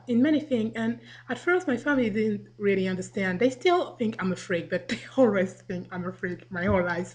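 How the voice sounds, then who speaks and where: A young woman from Africa, chatting casually to a home webcam, rambling and laughing as she acts out quotes. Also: medium pitch. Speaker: vlogger. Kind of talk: casual video response to the camera.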